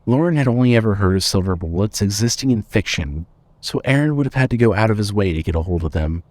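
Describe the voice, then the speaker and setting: Lower-pitched man narrating a story. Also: animated, quick pace, darkish tone.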